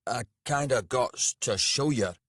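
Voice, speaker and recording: A man voicing a surly, blunt servant who talks slowly and gruffly. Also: mid-range voice, a bit bright, no echo, clean studio sound, pitched above the performer's own voice. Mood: wary. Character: surly working-class male servant, blunt and menacing.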